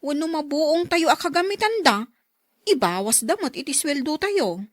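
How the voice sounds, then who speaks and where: A woman's voice: sits high, expressive, normal speed, no echo, studio quality. An actress playing a discontented, plaintive female household servant in a radio drama. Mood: complaining. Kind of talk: Ilocano radio drama acting.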